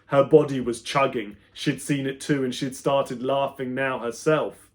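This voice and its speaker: A man reading his own children's book aloud as an expressive storyteller, with dramatic emphasis that moves between loud and hushed. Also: mid-range voice, a little slow.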